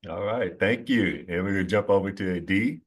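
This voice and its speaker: A man with a low voice hosts a relaxed conversation series. He talks in a friendly, easygoing way, with plenty of fillers and ready laughter. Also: normal speed. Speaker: host. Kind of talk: casual conversation.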